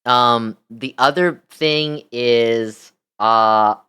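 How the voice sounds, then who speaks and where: A woman's voice: extremely low pitch, drawn-out words, fairly bright tone, clean tone. A woman streamer reacts in a casual, conversational way. She is blunt and swears freely, yet speaks with open sympathy for the people she comments on.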